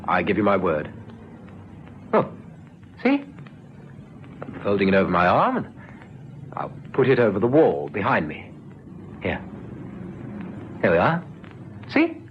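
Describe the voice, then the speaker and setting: An actor playing a gentle, anxious police constable in a vintage radio drama, hesitant and careful. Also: male voice, on the low side, huge pitch swings, measured pace, long pauses. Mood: anxious, coaxing. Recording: dull vintage radio recording, faint noise.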